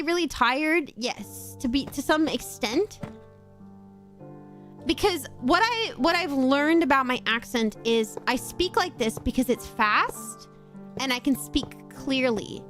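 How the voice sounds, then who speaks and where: A woman's voice: sits high, expressive, a little slow, fairly bright tone, faint music behind. A woman from Australia with a mostly North American-sounding accent and a slight Australian edge on some words, chatting casually on stream and reading chat aloud. She laughs often and swears casually.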